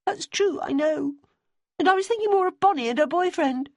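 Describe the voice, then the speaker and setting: A woman's voice: extremely high pitch, animated, fairly quick, no echo, voice pushed up. A woman reading an audiobook, voicing an anxious young widow who talks in an urgent, pleading way, her voice raised well above her own.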